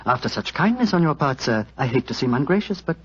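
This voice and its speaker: Oily, menacing butler villain. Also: male voice, mid-range voice, sing-song, fast, breathy edge. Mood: smooth menace. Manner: oily, over-polite, menacing. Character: coldly polite, murderous male butler. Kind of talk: 1940s radio acting. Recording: muffled, lo-fi 1940s radio recording.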